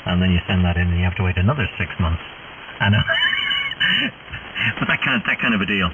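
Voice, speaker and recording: A man's voice: deep voice, huge pitch swings, a little fast, far from the mic. A middle-aged man chatting casually and hesitantly as an amateur operator over shortwave radio. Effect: shortwave radio transmission. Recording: muffled, narrow-band radio with clear static hiss.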